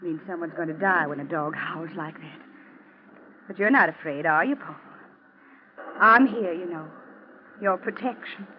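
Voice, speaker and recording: An actress playing a cold, taunting wife bent on revenge, in an ominous, theatrical 1940s radio drama style. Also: female voice, fairly low pitch, moderate pace, long pauses, close to an everyday voice. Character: vengeful wife, cold, taunting, avenging her father. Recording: muffled, noisy old radio recording.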